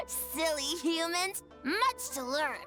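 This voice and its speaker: An actress voicing a small, childlike, excitable tanuki yokai in a video game, exclaiming in short, broken third-person phrases. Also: female voice, very high-pitched, heavily acted. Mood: proud, gleeful. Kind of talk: English game voice acting.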